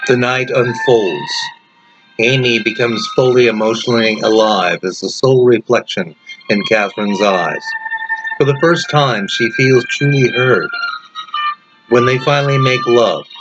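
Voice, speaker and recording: A man reading a story as its author, in dramatic audiobook narration with deliberate phrasing and pauses. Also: on the low side. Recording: dull, lo-fi narration track. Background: music.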